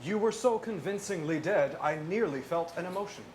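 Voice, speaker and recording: A man playing a formal, logical alien science officer with deadpan delivery in a stage parody. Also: high voice, fairly quick. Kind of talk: comic stage parody acting. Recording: noisy live stage room.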